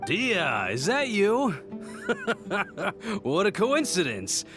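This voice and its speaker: A jovial desert local in a video game, chatty and sociable. His voice is a bit high and very animated, with a hearty tavern manner. Guitar music plays behind him. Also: extremely slow, exaggerated voice. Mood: jovial, welcoming.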